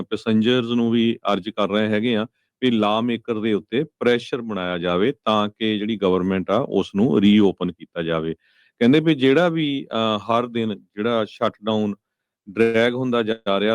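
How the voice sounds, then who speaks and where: A man's voice: fairly low pitch, clean tone, no room sound. A man reading news conversationally as a radio host, mixing in English words. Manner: mixes English words into speech.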